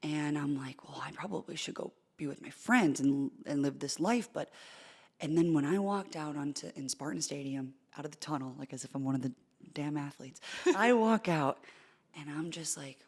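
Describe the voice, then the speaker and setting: A woman in her thirties talks through her career in a relaxed interview, her voice sitting notably deep. She is chatty and animated, piling up quick restarts and fillers as she tells her stories. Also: husky. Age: 30s. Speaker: sportscaster guest. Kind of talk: casual interview chat.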